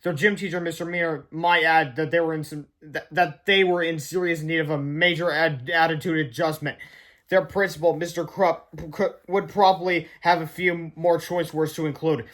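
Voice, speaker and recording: Male children's book reader, notably high-pitched, expressive storyteller with emphatic delivery. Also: moderate pace. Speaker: read-aloud reader. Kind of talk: children's book read-aloud narration.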